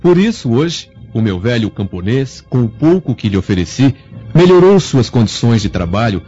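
Radio drama role: wealthy freed slave, courteous and secretly vengeful, dark low tone, music underneath. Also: male voice, big pitch swings, moderate pace, breathy edge. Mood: smooth, guarded. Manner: formal, courteous, polished. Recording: dull lo-fi audio with music.

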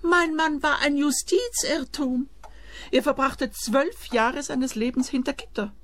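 An actress playing a shrewd, insistent widow with emphatic, theatrical delivery in a radio play. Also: female voice, high-pitched, steady tone, unhurried, no room sound. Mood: insistent, conspiratorial. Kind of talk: German-language radio play acting.

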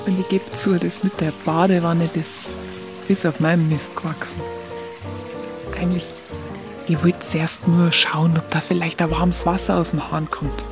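An actress in a radio drama playing a candid, naive young photographer with a Bavarian accent, recounting her memories in halting colloquial speech. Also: fairly low pitch, little pitch movement, natural voice. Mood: confiding, sheepish. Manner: halting, confiding. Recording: music behind the voice.

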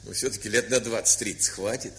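An actor playing a frank, calm, good-natured grown nephew who gently banters in a radio play. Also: male voice, lowish voice, fairly quick. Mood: teasing. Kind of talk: Soviet radio play acting.

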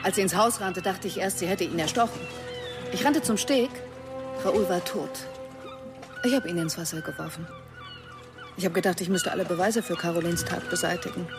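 A woman's voice: medium pitch, wildly swooping pitch, moderate pace, pauses often. Composed, defensive woman over music. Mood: confessing, composed. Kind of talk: radio drama acting.

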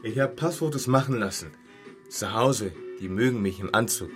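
An actor playing an excitable, inquisitive friend who probes and exclaims in a radio drama. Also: male voice, lowish voice, moderate pace, faint music behind, close to an everyday voice. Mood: teasing. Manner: probing, exclamatory. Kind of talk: naturalistic radio drama dialogue.

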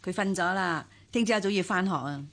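An actress playing an attentive, respectful household helper in a radio drama, with a Hong Kong Cantonese accent. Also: female voice, medium pitch, a little fast, a bit bright.

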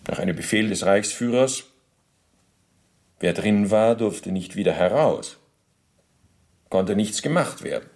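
A deep-voiced male bureaucrat under interrogation. His speech is gravelly and stiff, full of official phrasing. He justifies himself pedantically and pushes responsibility onto others, as in a documentary radio drama. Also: animated, a little slow, long pauses, a little mellow. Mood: self-justifying.

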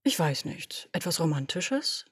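A domineering woman boss with a husky, breathy voice, as a woman reads her fires off brisk orders and brushes aside objections. She sounds dry and close to the microphone, like a home audio drama recording. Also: low-pitched, sing-song, dry recording, heavily acted. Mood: cool, commanding. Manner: commanding, brisk, dismissive. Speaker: podfic reader. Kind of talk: German audio drama reading.

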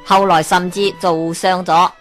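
A woman with a Hong Kong Cantonese accent tells a folk tale in a lively way. She often turns to the listener with rhetorical questions. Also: on the low side, a little slow, faint music behind. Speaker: storyteller.

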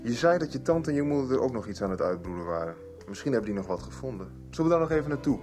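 An actor playing a brisk, bookish amateur sleuth in a 1990s Dutch radio soap. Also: male voice, mid-range voice, sing-song, darkish tone, soft background music, lightly acted. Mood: pondering.

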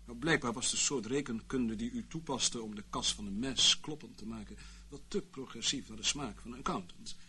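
Guy acting a sardonic govt man in a radio play, mock polite, dry, medium pitch, bit of noise behind. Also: moderate pace, few pauses, pushed and bright, close to an everyday voice. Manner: dry, ironic, mock-polite, leisurely officialese. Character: wry, sarcastic male intelligence official, calmly toying with his captive. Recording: noisy old radio play recording.

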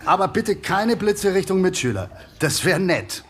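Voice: medium pitch, very animated, a little slow, crowd noise. An actor playing an indulgent, easily flustered schoolteacher with a hesitant, exclaiming manner in a children's radio play.

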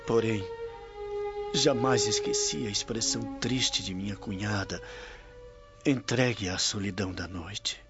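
A man's voice: lowish voice, a little slow. A Brazilian man playing an earnest, formal Roman military nobleman in a radio drama. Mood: remorseful, grave. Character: grave, remorseful Roman military nobleman, loyal son-in-law. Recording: dull audio with loud music.

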